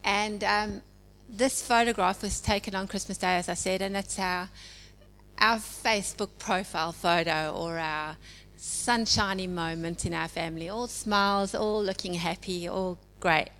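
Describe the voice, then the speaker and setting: A South African woman in her forties giving an informal church message, talking in a conversational way with many pauses and ums. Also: medium pitch, steady tone, fairly bright tone. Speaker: church speaker. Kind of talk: informal sermon. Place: church hall. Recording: hall reverb with faint hum.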